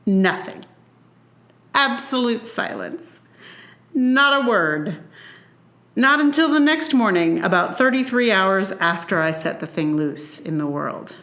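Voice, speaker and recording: A woman podcast host reading her own personal essay aloud with measured, deliberate phrasing. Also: medium pitch, expressive, a bit bright, reverberant.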